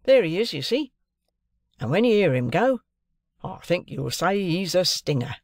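A woman's voice: fairly low pitch, big pitch swings, normal speed, a little mellow, dry recording, clean studio sound, voice pushed up. A woman voicing a proud, shrewd, eccentric male clerk in an audiobook, with emphatic, confiding, colloquial talk. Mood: proud, eager. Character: chirpy, proud middle-aged London clerk, man, shrewd and eccentric.

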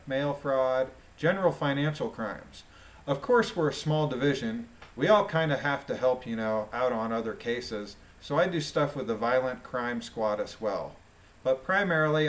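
A man reading a court transcript aloud as questions and answers, deliberately, with informal delivery as a commentator. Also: medium pitch, poor audio.

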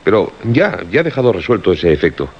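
An actor with a husky voice and a Castilian Spanish accent playing a cautious, concerned radio crew colleague who reasons calmly in a radio drama. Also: male voice, lowish voice, fast, full character voice. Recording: muffled radio recording.

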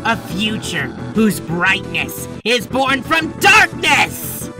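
A woman's voice: medium pitch, very animated, takes it slowly. A woman voicing an earnest, self-important young male bird in a video game fandub. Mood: grand, determined. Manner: grandiose, earnest. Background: clear music.

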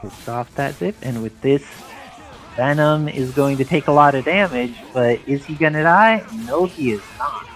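A man's voice: medium pitch, moderate pace, fairly bright tone. A man giving explanatory, articulate live play-by-play of a fighting game as an esports commentator. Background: game music under the commentary.

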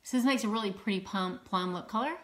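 A woman's voice: mid-range voice, quick pace, a bit bright. A woman in her fifties with a mild Southern accent, chatting on a phone livestream at home, greeting viewers and laughing easily. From Louisiana, US. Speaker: makeup seller hosting a livestream. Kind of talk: casual livestream chat. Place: indoors at home, at a table. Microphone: phone camera close up.

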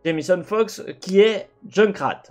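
A man's voice: high-pitched, unhurried, faint music behind. A young man hosting a gaming video, commenting over gameplay with lots of fillers and restarts, on a headset mic. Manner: animated, talks with his hands, many fillers and restarts. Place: home room with gaming chair.

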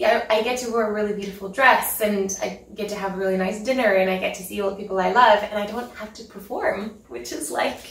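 A woman in her thirties from Norway, a pianist chatting in an interview at home, giggling and filling gaps with ums. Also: on the high side. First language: Norwegian. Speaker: interview guest, concert pianist. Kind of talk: casual interview chat. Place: home living room with piano, indoors. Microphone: far camera mic. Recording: echoey room, mic at a distance.

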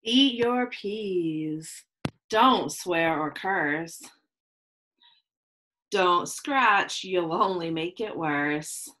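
A woman in her thirties reading a children's picture book aloud in slow, sing-song rhymes, recorded on a webcam at home. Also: lowish voice, a bit bright, slight background noise, rough recording. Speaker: children's read-aloud host. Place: home room with plants, indoors.

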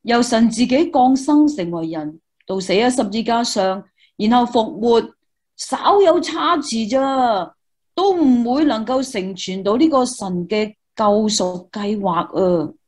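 A woman's voice: on the high side, expressive, slow, a little mellow. A woman with a Hong Kong Cantonese accent playing an eager, devout young student reporter, earnest and exclamatory, in a Christmas radio play. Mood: excited.

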